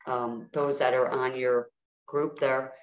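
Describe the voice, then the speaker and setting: A woman's voice: rumbling low, little pitch movement, takes it slowly, roomy sound, muffled recording. A woman guest coaching listeners in a soothing way, often checking in with "all right?". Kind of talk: guided healing talk.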